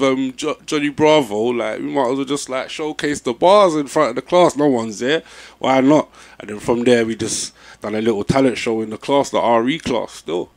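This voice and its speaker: Young London man, relaxed MC guest telling stories. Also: mid-range voice. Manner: laid-back, peppered with "you know what I'm saying". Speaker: MC interviewee. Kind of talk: casual interview chat.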